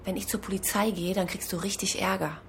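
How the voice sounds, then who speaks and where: A woman's voice: medium pitch, steady tone, fast talker, a bit bright, a little hiss or hum, lightly acted. An actress playing a desperate, suspicious mother in a radio play, insistent and nervous in her delivery. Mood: agitated, demanding. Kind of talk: radio drama dialogue.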